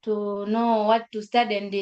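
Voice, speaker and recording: A young woman from Africa, sharing her story on a webinar call in halting English with many fillers and repeated phrases. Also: medium pitch, little pitch movement, a little mellow, lo-fi sound. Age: young adult. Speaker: school graduate. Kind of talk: webinar testimony.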